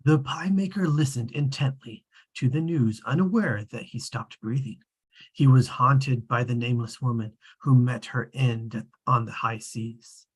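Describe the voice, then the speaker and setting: A man reads as a droll storybook narrator, all-knowing and precise. His husky, mid-pitched voice delivers the scripted narration in a measured way. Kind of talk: scripted narration at a table read.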